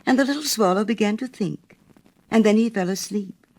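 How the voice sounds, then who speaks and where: A woman narrating a storybook fairy tale in an expressive reading style. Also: medium pitch, normal speed, poor audio.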